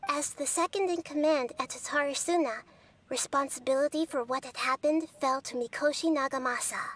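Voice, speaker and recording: A wise young goddess speaks in a soft, measured game voice. Her pitch sits high for a woman, and she keeps an even, gentle calm as she guides and explains. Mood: explaining. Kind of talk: game character dialogue.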